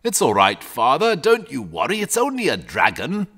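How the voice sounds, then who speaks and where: A man voicing a calm, bookish young boy in a storybook, matter-of-fact, patient and slightly superior. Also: on the high side, normal speed, voice pushed up. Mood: calm, assured. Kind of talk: storybook character reading.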